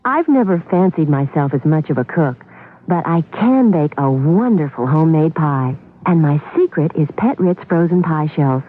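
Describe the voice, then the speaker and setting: A woman's voice: low voice, lively intonation, moderate pace, a little hiss or hum. A woman giving a chatty, homely scripted testimonial in a radio commercial. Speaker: commercial spokeswoman. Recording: dull lo-fi radio recording.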